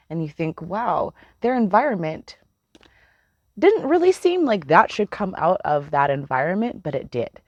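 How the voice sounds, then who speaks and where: A woman giving a casual spiritual card reading, chatty and meandering, with a slightly hoarse voice. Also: low voice, darkish tone, dry recording. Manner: chatty, meandering, laughs often, swears casually. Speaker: tarot reader.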